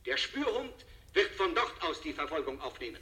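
An actor playing a sensationalist TV news reporter, giving emphatic, theatrical live manhunt commentary in a 1970s German radio drama. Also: male voice, a little high, big pitch swings, brisk, sharp tone. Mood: urgent. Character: sensationalist male TV news reporter, excited, menacing.

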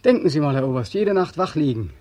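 Brief: haunted war returnee, 1940s radio drama, muted raspy tone, pleading, obsessive. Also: male voice, a little high, very animated, fast talker, a little mellow, no echo. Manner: weary, pleading, obsessive, repeating himself. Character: weary, haunted ex-soldier back from war, insistent.

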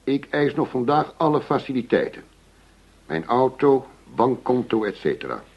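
An actor in a Dutch radio play playing a blustering, fed-up police chief who talks bluntly, with sarcasm and combative demands. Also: male voice, mid-range voice, very animated, normal speed, vocal fry, husky, exaggerated voice. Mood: angry, demanding. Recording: dull, muffled radio drama recording.

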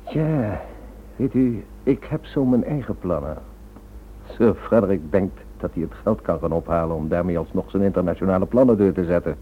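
A man playing a smug, cynical criminal schemer in a radio play, suave and mock-polite with quiet menace. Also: low-pitched, moderate pace, a little hiss or hum, lightly acted. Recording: thin narrow-band old radio drama recording.

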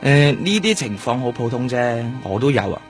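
An actor playing a reassuring, casually teasing doctor in a Cantonese radio drama, with a husky voice and a Hong Kong accent. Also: male voice, mid-range voice, big pitch swings, normal speed, faint music behind, natural voice. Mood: reassuring, attentive.

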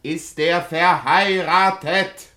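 An actor playing a plain-spoken, helpful country local in a German radio play, talking in short colloquial phrases. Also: male voice, a little high, steady tone, slow pace, sharp tone. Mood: helpful, emphatic.

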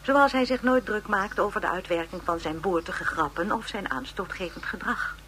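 A woman playing an encyclopedia narrator in a radio drama, giving formal, expressive, measured biographical narration. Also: mid-range voice, a little hiss or hum, lightly acted. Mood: informative, wry.

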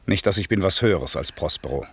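A grave, commanding magician and father in a classic radio drama. He declaims solemnly in a deep voice, recorded close and very dry. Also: expressive, fast, fairly bright tone, slightly rough, no echo, exaggerated voice. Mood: solemn, soothing. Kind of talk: classic German radio play acting.

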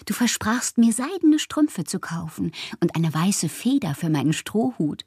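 An actress in a radio drama playing a lonely, whimsical bohemian woman writer, intimate and wistful, with a husky, breathy voice that often drops to a whisper. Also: mid-range voice, a little mellow. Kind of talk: literary radio monologue.

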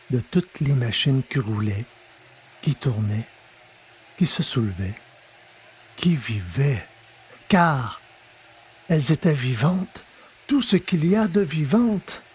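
A man narrating a scripted story in a measured, dramatic manner, with pauses for effect. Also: medium pitch, a little mellow, slight background noise. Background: music.

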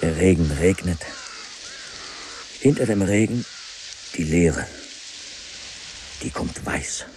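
A very low-voiced, deranged man broods aloud in a radio play. He speaks slowly and haltingly, repeating himself and swinging from full voice toward whispers. A clear wash of noise lies over the recording. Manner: halting, repetitive, drifting into whispers. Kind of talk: literary radio drama monologue.

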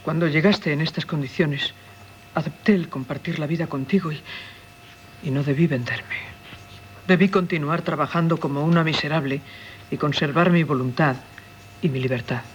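An actress playing an anguished, unhappy wife in a 1970s radio drama, speaking earnest, solemn Castilian Spanish. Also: female voice, low voice, moderate pace, pauses often, close to an everyday voice. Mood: earnest, bitter. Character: anguished, unhappy wife pleading for her freedom. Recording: dull 1970s radio recording, clear hiss.

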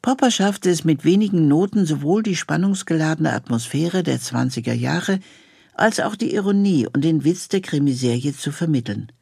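A man's voice: fairly high pitch, animated, moderate pace, gravelly, mellow, husky, no room sound, clean studio sound. A man presenting a scripted introduction to a radio series.

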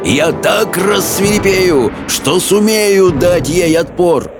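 Guy doing a dramatic storybook wizard, bossy and roaring, voice pushed up high, music behind. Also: big pitch swings, slow pace, creaky, exaggerated voice. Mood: vehement. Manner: roaring, emphatic, imperious.

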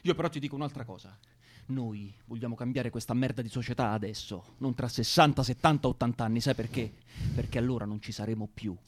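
Man acting a fast, combative young militant. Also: mid-range voice, natural voice. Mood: insistent. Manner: combative, vehement, foul-mouthed. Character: angry young male militant of 1977 protest movement. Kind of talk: naturalistic radio drama acting.

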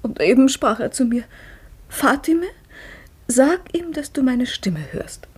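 A high, bright female voice as a clever young wife in a 1950s radio play. Her manner moves between teasing and earnest intimacy. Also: lively intonation, a little slow, husky, exaggerated voice. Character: Fatime, a clever, devout, businesslike young wife. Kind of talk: 1950s radio drama acting.

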